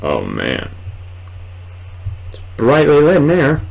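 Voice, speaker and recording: A man's voice: a little high, wildly swooping pitch, slow pace. A man in his twenties doing casual let's play commentary, rambling and muttering hesitantly to himself in a room at home. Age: 20s. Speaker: gamer, video host. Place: small room at home, indoors. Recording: clearly noisy home recording.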